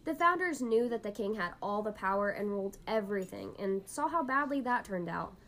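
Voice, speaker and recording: A woman's voice: mid-range voice, lively intonation, a little fast, fairly bright tone. A woman hosting an educational podcast in a scripted, instructive explainer style. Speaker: podcast host.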